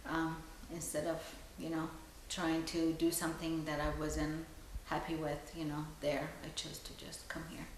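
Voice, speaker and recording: A woman from Iran speaking softly and hesitantly in a documentary interview. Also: low voice, monotone, a little slow, few pauses, very echoey, a little hiss or hum, low-quality recording. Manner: soft, hesitant, many "um"s.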